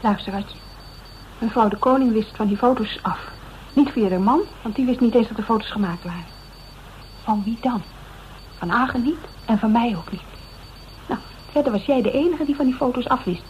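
A woman's voice: on the high side, moderate pace, pauses often, a little mellow, natural voice. An actress playing a direct, insistent woman who confronts a friend with familiar doubt in a Dutch radio drama. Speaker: actor. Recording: dull, noisy old radio recording.

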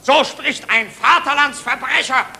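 Ein Schauspieler spielt in einem deutschen Hörspiel der 1940er einen wichtigtuerischen, nationalistischen Psychiater, pompös und theatralisch deklamierend. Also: male voice, very high voice, measured pace, creaky, pushed and bright, a faint crowd, heavily acted. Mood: officious outrage. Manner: pompous, officious, theatrical oratory. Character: bombastic, nationalist German psychiatrist, self-important official. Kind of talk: 1940s German radio play, declamatory speech. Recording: noisy 1940s radio recording.

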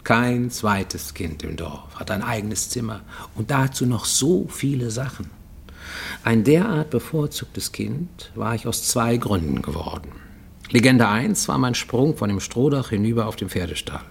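An actor playing a grown man, orphaned as a child, who recounts his past in a measured radio-play monologue with dramatic pauses. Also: mid-range voice, expressive, gravelly, slight background noise. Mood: confiding, wry. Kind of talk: literary first-person monologue in a radio play. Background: music.

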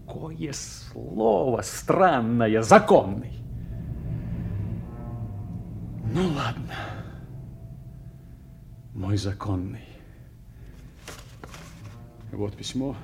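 A man's voice: medium pitch, animated, very slow, gravelly, pauses often, music in the background, heavily acted. A man playing a scheming, defiant young nobleman in a brooding, emphatic theatrical soliloquy. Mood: scheming, exultant. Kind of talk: theatrical soliloquy, classical radio drama.

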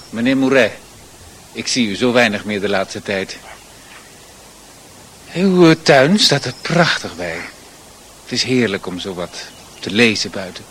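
Courteous clergyman for radio drama, slightly hesitant, very varied pitch, faint outdoor ambience. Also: a bit bright, slight background noise. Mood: smoothly courteous. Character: polite, softly insinuating clergyman and neighbour.

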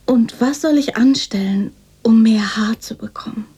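Shy poor orphan girl, young adult, radio play, really breathy, slow n hesitant, meek. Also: a little high, dark tone. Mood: grateful, hesitant. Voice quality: breathy, whispery. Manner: timid, hesitant, deferential. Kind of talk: radio drama acting.

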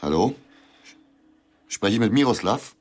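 An actor playing a polite, persistent investigator who asks measured questions in a radio drama. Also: male voice, fairly low pitch, lively intonation, darkish tone. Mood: measured, probing.

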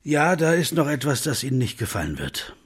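A man's voice: medium pitch, very animated, a little fast, husky. An actor playing a jazz pianist who narrates his own story in a dry, understated way and talks with friends. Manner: thoughtful first-person narration, dry and understated. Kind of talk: audio drama narration and dialogue. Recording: music bed underneath.

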